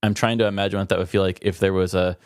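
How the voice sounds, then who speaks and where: A man's voice: low voice, flat delivery, rapid-fire, clean tone, dry recording. Man chatting casually, dry close sound. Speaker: podcast co-host. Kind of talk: casual chat, storytelling.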